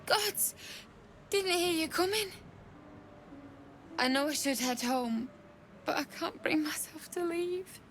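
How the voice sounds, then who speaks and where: An actress playing a desperate, grieving young widow in a video game, anguished and emotional. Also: female voice, extremely high pitch, slow, pauses often, fairly bright tone, slight background noise, heavily acted. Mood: weary sorrow.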